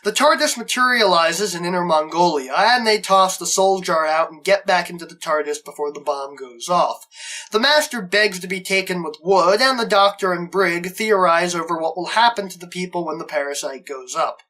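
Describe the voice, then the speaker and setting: Bright-voiced man narrating a review. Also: high voice, moderate pace. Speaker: reviewer, show host. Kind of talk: scripted review narration, recapping a story.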